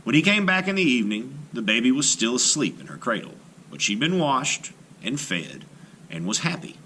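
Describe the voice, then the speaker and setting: A man's voice: medium pitch, big pitch swings, normal speed, pushed and bright, slight background noise. A man reading a children's folktale aloud as a storyteller, in a scripted narration. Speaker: storyteller, art teacher.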